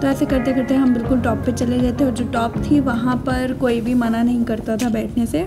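A woman's voice: on the high side, flat delivery, a little fast. A young woman with a Pakistani accent narrating her trip in a casual, chatty voice-over that mixes in English phrases. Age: young adult. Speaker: travel vlogger. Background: music.

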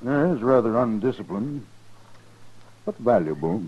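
An actor playing a stubborn, clipped army major in a 1950s radio western. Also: male voice, mid-range voice, lively intonation, unhurried, husky. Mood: gruff, disapproving. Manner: clipped, insistent, suspicious. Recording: dull 1950s radio recording.

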